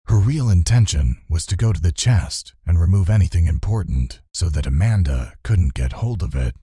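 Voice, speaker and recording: A man reading a children's fantasy audiobook as narrator, telling the story in a measured, scripted way. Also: deep voice, lively intonation, warm tone, breathy edge, dry recording, clean studio sound.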